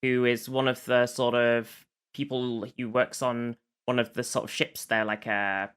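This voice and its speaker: A podcast host with a mid-pitched voice that is bright and cleanly resonant. The delivery is casual, like talk between friends, with a hesitant search for the right word as the recap goes on. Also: little pitch movement, unhurried, smooth. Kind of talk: casual chat recapping a TV show.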